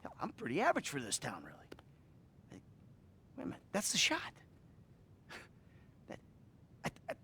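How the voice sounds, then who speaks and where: A man's voice: fairly high pitch, wildly swooping pitch, moderate pace, long pauses, pushed and bright, husky, heavily acted. An actor playing a troubled middle-aged American criminal in a video game, rambling and profane as he confides in his therapist. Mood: agitated, distressed. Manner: profane, rambling, agitated, sighing. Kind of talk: acted video game cutscene dialogue.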